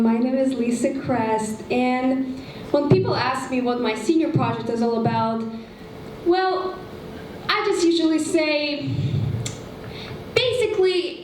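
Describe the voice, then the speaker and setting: A chatty young woman in her late teens, a native Russian speaker with a slightly high voice. She is picked up by a distant mic in an echoey hall, with a faint hiss underneath. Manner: chatty, self-mocking, lively. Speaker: student presenter. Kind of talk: introducing her own project.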